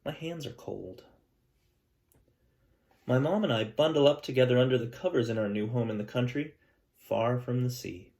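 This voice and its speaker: A man reads a picture book aloud to his class, pausing to explain things in a gentle, chatty way. His voice sits at an ordinary pitch. The sound is roomy and echoey, as if the mic is a few feet away. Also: creaky. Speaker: teacher. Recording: echoey room, mic at a distance.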